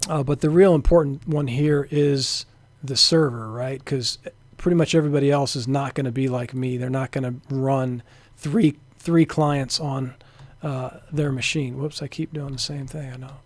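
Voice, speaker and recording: A man narrating an informal software demo as a developer, thinking aloud hesitantly and sometimes trailing off into a mutter. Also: mid-range voice, steady tone, moderate pace, no room sound. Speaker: software developer demonstrating a networking tool.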